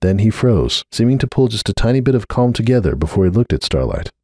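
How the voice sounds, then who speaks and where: Deep, animated male story narrator. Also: fast talker, no echo, studio quality. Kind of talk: dramatic scripted fan fiction narration.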